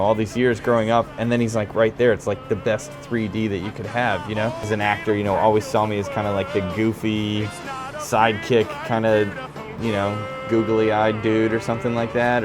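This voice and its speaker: A young man with a Midwestern American accent and a fairly low voice chats easily in a theater dressing room. He rambles with plenty of fillers. Loud music plays behind him. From Chicago. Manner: chatty, laid-back, many fillers. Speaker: stage actor interviewed backstage. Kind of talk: casual backstage interview.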